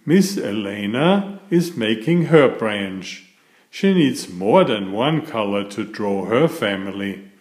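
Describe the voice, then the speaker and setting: A man reading aloud in a deliberate, expressive children's storytelling style, as a narrator and host. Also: lowish voice. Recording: roomy, echoing room sound.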